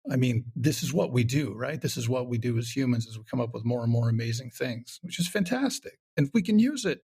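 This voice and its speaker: Creaky-voiced man chatting as interview guest. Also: mid-range voice, expressive, a little fast, darkish tone. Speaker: podcast guest, comedy show creator. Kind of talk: casual interview conversation.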